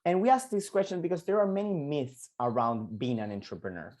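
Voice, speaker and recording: A man in his thirties from Argentina with an Argentine Spanish accent, giving an online entrepreneurship lesson and often repeating words and filling pauses. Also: fairly high pitch, a little mellow. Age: 30s. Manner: halting, frequent repeated words and fillers. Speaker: entrepreneurship coach. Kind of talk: online lecture to students.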